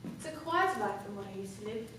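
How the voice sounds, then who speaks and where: A young woman acting a composed, cunning, sharp-tongued woman in a formal, deliberate theatrical monologue. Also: mid-range voice, expressive, music in the background, close to an everyday voice. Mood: cool, confiding. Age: young adult. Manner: deliberate, formal, dramatic delivery. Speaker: student actor. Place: classroom performance in front of class. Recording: echoey room, distant mic, background noise.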